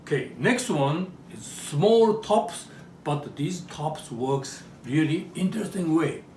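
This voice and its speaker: A man's voice: a little high, expressive, slow, darkish tone. A man from Japan with a Japanese accent gives a live show-and-tell demonstration, often checking in with his audience through short questions. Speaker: presenter demonstrating traditional toys. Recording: roomy, distant mic with background noise.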